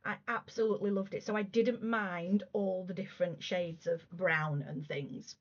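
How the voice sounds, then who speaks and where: A woman in her thirties, a crafting vlogger, chatting casually and gushing about her work, her voice going a little hoarse. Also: medium pitch, fairly even intonation, normal speed. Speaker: cross stitch vlogger. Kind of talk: casual show-and-tell chat. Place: room at home. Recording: slightly dull lo-fi home recording.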